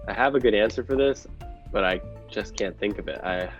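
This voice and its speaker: A deep-voiced man talks through his answers like an analyst being interviewed. The sound is a little dull, with faint music behind him. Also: a little fast. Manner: analytical, explanatory. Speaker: podcast guest, real estate investor.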